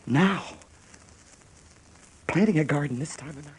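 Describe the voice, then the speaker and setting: Anguished American grown son, high voice, blunt, emphatic, pleading, radio drama. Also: male voice, measured pace, slightly rough, rough recording. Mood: tense, subdued. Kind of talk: stage-style radio drama acting.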